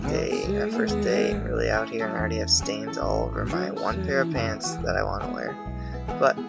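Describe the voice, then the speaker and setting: A man's voice: on the low side, sing-song, moderate pace, vocal fry, loud music behind the voice. A young man chatting casually to a handheld camera as a travel vlogger out camping. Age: young adult. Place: outdoors in woods and inside a tent. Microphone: handheld action camera at arm's length.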